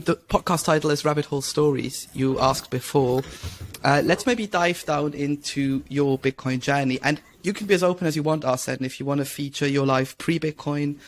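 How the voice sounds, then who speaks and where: A man hosting a podcast, inviting his guest to tell his story in an easygoing, casual way. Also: fairly high pitch. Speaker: podcast host.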